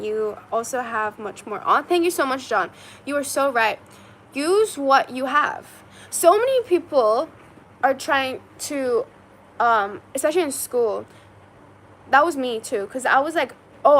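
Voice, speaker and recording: Young woman streamer, from China; casual, rambling chat with lots of fillers; slightly high voice. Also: a bit bright, slight background noise. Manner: rambling, heavy use of "like" and "um". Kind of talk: casual chat, reading viewer comments.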